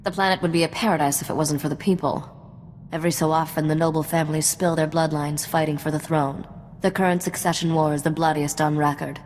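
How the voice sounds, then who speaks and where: A woman's voice: deep voice, fairly even intonation, fast, darkish tone, lightly acted. A woman playing a shrewd, worldly smuggler's contact in a video game, cynical and matter-of-fact. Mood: cynical, knowing. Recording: reverberant hall sound with faint noise.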